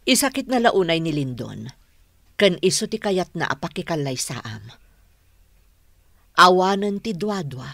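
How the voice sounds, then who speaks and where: An actress playing a loyal older household maid who confides gravely and earnestly in a radio drama. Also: female voice, on the low side, big pitch swings, unhurried, pauses often, fairly bright tone, dry recording, exaggerated voice. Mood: earnest, confiding. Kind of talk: Ilocano radio drama acting.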